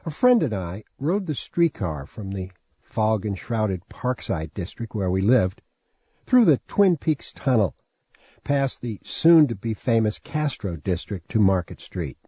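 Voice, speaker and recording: A man's voice: on the low side, big pitch swings, unhurried, dark tone, husky, no echo. An American man from San Francisco reading his own memoir as scripted audiobook narration. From San Francisco, USA. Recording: dull lo-fi sound with music. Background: music.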